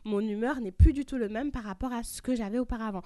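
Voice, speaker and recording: A woman's voice: on the high side, fast, warm tone, no room sound, studio quality. A woman hosting a group talk, explaining terms step by step with everyday examples. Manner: didactic, walks through definitions with examples. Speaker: host. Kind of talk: explaining to a panel.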